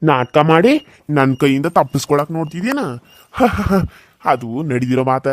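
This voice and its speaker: An actor playing a cruel, scheming village husband who mocks and threatens. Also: male voice, a little high, sing-song, moderate pace, no echo. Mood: gloating. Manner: mocking, threatening, scornful laughter.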